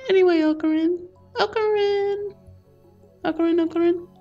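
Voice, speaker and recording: Man putting on a very high, childlike anime girl. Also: fairly even intonation, normal speed, warm tone, lo-fi sound, soft background music. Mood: playful calling. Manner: high falsetto, innocent. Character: sweet, airy, childlike anime girl, friendly.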